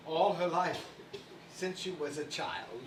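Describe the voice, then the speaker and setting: A man playing a gentle, guilt-ridden old father in a stage play, hesitant and apologetic, recorded far off with noise. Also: a little high, takes it slowly. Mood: anxious, confessing. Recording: echoey stage from far off, noisy.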